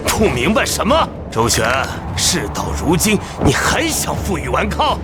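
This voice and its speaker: An arrogant villain who summons foreign gods in a fantasy cartoon. His voice sits high and swings wildly in pitch. He is theatrical, boastful and quick to lose his composure, over a loud music bed. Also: wildly swooping pitch. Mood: sneering. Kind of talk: animated fantasy motion-comic dialogue.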